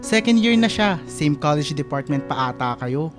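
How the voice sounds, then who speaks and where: A playful young guy played by an actor jokes and coaxes his way through a radio drama. He is an expressive, teasing best buddy, with music clearly playing behind him. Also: a little high, moderate pace, natural voice. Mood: persuasive. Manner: joking, coaxing, expressive.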